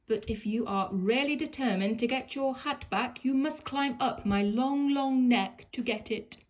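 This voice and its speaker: A woman's voice: high-pitched, measured pace, voice pushed up. A woman voicing a grand, teasing female giraffe in a storybook, with a pompous manner. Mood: haughty, teasing.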